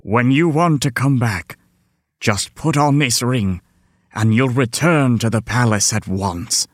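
An actor playing a lonely, gentle beast in love in a children's fairy tale, speaking hesitantly and full of self-doubt. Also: mid-range voice, dry recording, clean studio sound. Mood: reluctant. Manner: halting, hesitant, pleading.

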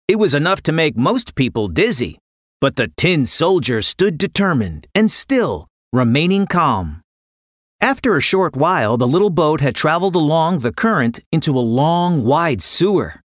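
Expressive woman storyteller, animated pitch. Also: moderate pace, no room sound, studio quality. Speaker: storyteller, podcast narrator. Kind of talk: scripted children's story narration.

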